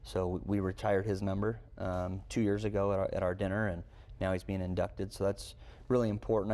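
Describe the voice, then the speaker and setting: A man's voice: deep voice, a little mellow. A man speaking as a college coach in an interview, conversational and earnest, building points through repetition. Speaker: college baseball head coach, interview guest. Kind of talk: unscripted interview answers.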